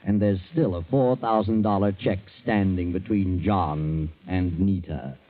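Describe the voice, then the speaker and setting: An actor playing a sinister, sardonic storyteller who narrates a 1940s radio mystery in a theatrical manner. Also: male voice, low-pitched, fairly even intonation, measured pace. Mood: sardonic, ominous. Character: sinister, mocking storyteller gloating over doomed sinners. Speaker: narrator and host of a mystery series. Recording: dull vintage radio recording with music.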